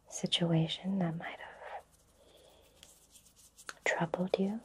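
A woman whispers very softly and breathily, in an even, slightly low tone. She guides a relaxation session in ASMR style, soothing and unhurried, leaving long pauses. A faint noise sits behind her voice. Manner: slow, soothing, gently reassuring, with long pauses. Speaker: ASMR Reiki practitioner guiding a session. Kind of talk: whispered ASMR personal attention.

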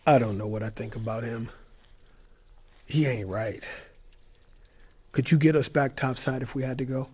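A man's voice: mid-range voice, normal speed, long pauses, a little mellow, breathy edge, no echo, close to an everyday voice. An actor playing a wary, plain-spoken young male survivor, narrating and talking casually in an audio drama. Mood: worried. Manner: casual, colloquial storytelling.

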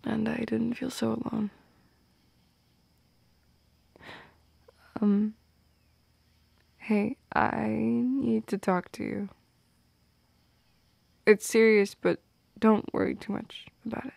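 Young woman acting shy goth girl, ASMR roleplay, soft and mellow, hesitant, teasing, close dry mic. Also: on the high side, fairly even intonation, no room sound, full character voice. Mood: nervous. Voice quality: soft and breathy, slipping into whispers. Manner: hesitant, teasing, halting with small laughs. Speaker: audio roleplay performer. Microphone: close microphone in a dry space.

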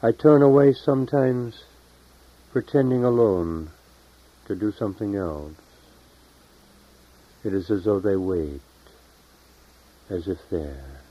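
Male poet, low voice, solemn deliberate delivery with long pauses, on a dull 1970s tape. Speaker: poet reading own work. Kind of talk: poetry reading, solemn and unhurried.

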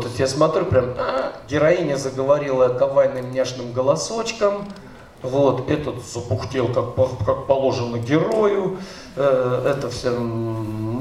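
A man's voice: mid-range voice, darkish tone. A middle-aged man giving an informal seminar talk on a stage with a handheld mic, loose and rambling, full of fillers and restarts. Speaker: seminar speaker, dubbing director. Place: stage of a large hall. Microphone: handheld mic, filmed from the audience. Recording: echoey hall, recorded from far off.

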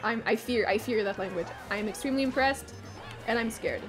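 Young french canadian girl streaming, bit high voice, swears a lot, faint game music behind. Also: brisk. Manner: excitable, swears freely, hums to herself, bursts into exclamations. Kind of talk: casual live-stream chat while gaming.

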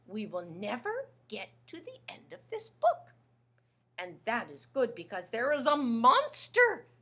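A woman putting on a small, frightened male storybook monster whose voice leaps high and swoops wildly as he pleads and wails. He is panicky and always on the edge of tears, and the sound is a little breathy. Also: pushed and bright, full character voice. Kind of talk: storybook read-aloud.

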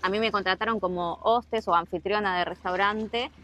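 A woman's voice: medium pitch, fairly even intonation, fairly quick, a bit bright. Chatty Argentine woman vlogging outdoors. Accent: Rioplatense Spanish. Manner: chatty, explains with many asides. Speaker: travel vlogger. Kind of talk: casual chat to camera. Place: outdoors on rocks by the sea, then a harbor.

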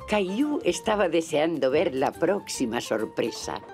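Music sits under a gentle children's cartoon narrator. Her Castilian Spanish voice is low and lively, in storybook style. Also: unhurried, full character voice. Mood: gentle, storytelling.

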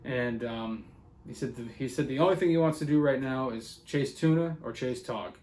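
A man's voice: medium pitch, normal speed, darkish tone, roomy sound. A man in his thirties giving a conversational weekly fishing forecast from a living room, with plenty of fillers. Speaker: fishing report host.

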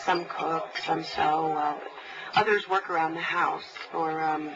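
A woman playing a nervous, chatty mother and client in a naturalistic audio drama, halting and apologetic. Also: low-pitched, fairly even intonation. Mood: nervous, hesitant. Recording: lo-fi tape, noisy cafe.

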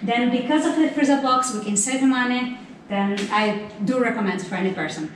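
A woman's voice: on the high side, a little fast. Woman in an echoey room. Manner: chatty, with non-native phrasing. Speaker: vlogger. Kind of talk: casual gear walkthrough. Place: large tiled room indoors. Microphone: camera mic from a few meters off. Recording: echoey room, distant camera mic, noisy.

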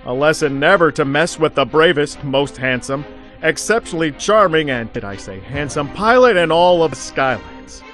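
A man voicing a vain, swaggering pilot in an animated game cutscene, hammy and self-satisfied. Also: a little high, normal speed, faint music behind. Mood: smug bragging. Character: vain, swaggering male furry pilot, cheerfully boastful.

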